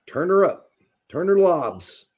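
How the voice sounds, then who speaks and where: Man, watch-along co-host, crude jokes, excitable play calls, slightly high pitch. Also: slow pace, husky. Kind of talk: casual live watch-along banter with play-by-play.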